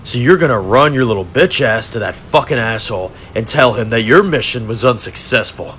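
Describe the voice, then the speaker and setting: A man's voice: mid-range voice, animated, brisk, a bit bright, slight background noise, lightly acted. A man voicing a defiant, angry male prisoner who swears at his captor. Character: defiant captured male fighter, angry and crude.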